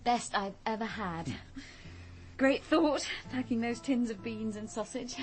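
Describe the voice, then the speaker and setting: A woman's voice: fairly high pitch, measured pace, darkish tone, close to an everyday voice. An actress playing a wide-eyed, anxious young woman in a radio drama. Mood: content.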